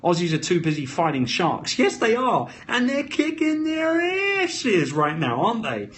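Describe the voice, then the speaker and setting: Hyped-up London man, higher-pitched voice. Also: wildly swooping pitch, a little slow, a bit bright. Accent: London British. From London, England. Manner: emphatic, punchy short phrases, hyped-up. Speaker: live-stream host. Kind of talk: off-the-cuff motivational live-stream chat. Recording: noisy live phone stream.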